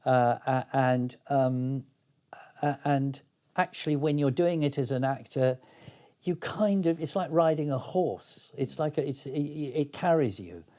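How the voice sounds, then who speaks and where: A man's voice: mid-range voice, measured pace, mellow. An older man speaking reflectively as an interview guest, hesitant and thoughtful but enthusiastic. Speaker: interview guest, playwright and actor.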